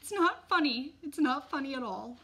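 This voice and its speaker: A woman's voice: high voice, expressive, normal speed, a bit bright, voice pushed up. A woman voicing a frantic, tearful mother in a storybook, wailing and pleading. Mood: upset, insistent.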